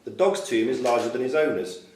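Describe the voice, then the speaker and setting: A man's voice: mid-range voice, animated, fairly quick. A man reading quiz questions aloud as quizmaster at a live event. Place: function room at a club quiz night. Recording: roomy, distant mic, faint noise, clicks.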